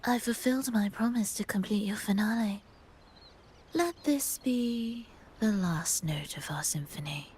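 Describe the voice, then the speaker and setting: A woman's voice: medium pitch, a little slow, a little mellow, slight background noise, close to an everyday voice. Woman playing a cool, melancholy noblewoman. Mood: quiet, resigned. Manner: restrained, formal. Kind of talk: video game cutscene acting.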